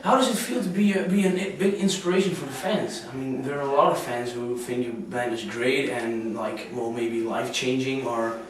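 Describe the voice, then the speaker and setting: A man with a Dutch accent asks interview questions, pausing as he hunts for the right English words. His voice sounds distant from the mic and bounces around a very echoey room. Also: medium pitch. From Netherlands. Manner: hesitant, searching for words. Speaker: fan interviewer.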